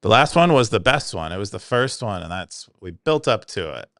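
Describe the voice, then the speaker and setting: A man's voice: on the low side, big pitch swings, normal speed, a bit bright, dry recording, clean studio sound. A man co-hosting a casual podcast, explaining technical things loosely and peppering his talk with "like". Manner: explains tech terms casually, heavy use of "like". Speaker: podcast co-host. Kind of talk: casual chat. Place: room by a window.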